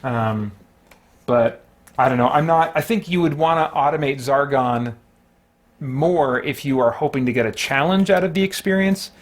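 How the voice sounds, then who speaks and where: A man's voice: mid-range voice, normal speed. Man thinking aloud over a board game. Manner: deliberating, talking himself through rules and choices. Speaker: host playing and narrating a solo tabletop board game. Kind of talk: casual play-by-play, thinking aloud.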